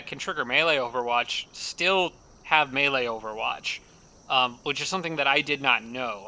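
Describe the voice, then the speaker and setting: A man commentating his strategy game playthrough, thinking aloud with many fillers and restarts, his voice a little raspy from a dry throat. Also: mid-range voice, pushed and bright, slight background noise. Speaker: strategy game let's-play host. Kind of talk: casual gameplay commentary.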